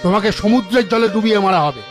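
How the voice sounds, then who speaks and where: A man's voice: sits high, quick pace, over music, lightly acted. A man voicing a stern, condemning king in a folk-tale cartoon, speaking in an accusing, commanding way.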